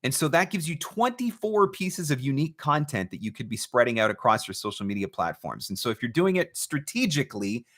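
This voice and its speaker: A man's voice: medium pitch, very animated, fairly quick, hardly any pauses, fairly bright tone. A man hosting a live question-and-answer advice talk, speaking emphatically like a coach and posing rhetorical questions. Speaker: host, voice-over marketing coach.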